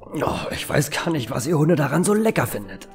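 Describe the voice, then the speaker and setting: A man's voice: a little high, very animated, normal speed, gravelly, soft background music, voice pushed up. A man playing a good-natured, polite car salesman who gets easily flustered in an audio drama. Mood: disgusted, chatty. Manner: polite, stammering when flustered.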